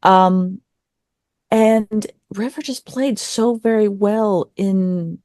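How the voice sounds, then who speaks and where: A woman's voice: mid-range voice, fairly even intonation, very slow, dark tone, no echo, studio quality. A woman giving casual opinions as a podcast panelist, rambling and often interrupting herself. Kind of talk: casual review discussion.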